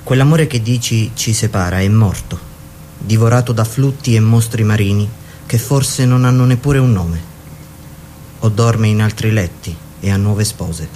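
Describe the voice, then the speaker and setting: Actor as low-voiced ardent suitor, noisy ambience. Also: male voice, moderate pace, warm tone, lightly acted. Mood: seductive. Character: ardent suitor seducing a queen, poetic and insistent. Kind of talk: theatrical radio drama monologue.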